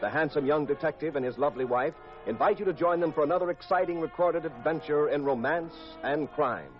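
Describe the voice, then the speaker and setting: A male American radio announcer with a slightly high voice. He delivers scripted copy in 1950s broadcast style. Music plays faintly behind him on a muffled old recording. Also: a little fast.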